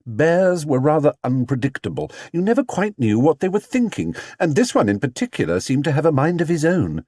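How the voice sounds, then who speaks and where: Male children's audiobook narrator, measured storytelling, highly animated melody, dry recording. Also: dry recording.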